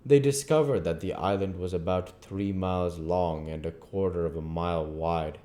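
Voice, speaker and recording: A man's voice: low-pitched, moderate pace, mellow. A man reading a classic novel aloud in the style of an amateur audiobook narrator.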